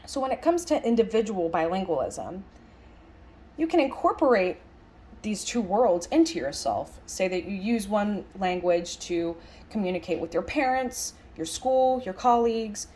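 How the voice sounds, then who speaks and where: A woman interprets a lecture aloud at a middling pitch that moves with lively swings. She sounds conversational and reflective, leaning on key words as she muses through the ideas. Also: normal speed. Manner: musing, emphatic phrasing with rhetorical questions. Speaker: sign language interpreter voicing a signed lecture.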